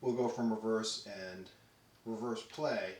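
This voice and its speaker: A man casually demonstrating a tape deck, talking in a relaxed way with many ums and restarts. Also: on the low side, measured pace, very noisy. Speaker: audio equipment reviewer. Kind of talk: casual product demo. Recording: roomy echo with faint music.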